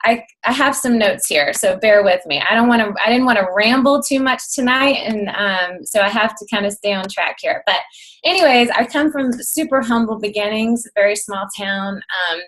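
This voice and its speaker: Guest speaker: late-thirties woman, voice going hoarse, rambling and excitable, higher-pitched. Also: moderate pace, low-quality recording. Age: 30s. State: losing her voice. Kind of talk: casual talk on a video call. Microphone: video call.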